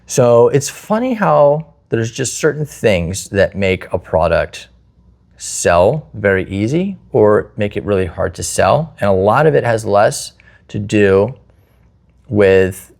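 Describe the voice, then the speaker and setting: Guy with a lowish voice chatting and explaining his take, opinionated, gives examples. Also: sing-song, takes it slowly, gravelly, darkish tone. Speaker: host, security installer. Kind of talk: casual explanatory discussion.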